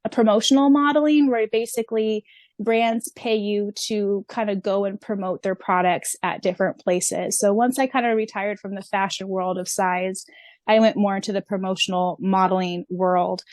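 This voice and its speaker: A woman's voice: mid-range voice, fairly even intonation, normal speed, a little mellow, dry recording. A woman chatting casually on a podcast in an informal, storytelling way as a co-host. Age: 30s or older.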